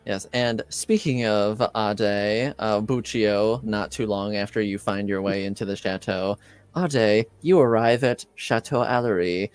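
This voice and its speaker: A man running a tabletop game as game master, improvising narration and often pausing to think mid-sentence. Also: lowish voice, clean tone, dry recording.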